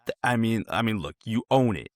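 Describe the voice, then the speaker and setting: A man's voice: fairly low pitch, lively intonation, brisk, no room sound. A man hosting a casual interview, talking in a wordy, affirming, conversational way. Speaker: interviewer, podcast host.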